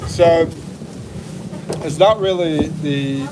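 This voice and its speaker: A man's voice: a little high, drawn-out words. A man in his fifties hosting a casual livestream, chatting and reading viewer comments aloud, hedging often with "like" and "I think". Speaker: livestream host. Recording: loud traffic noise behind.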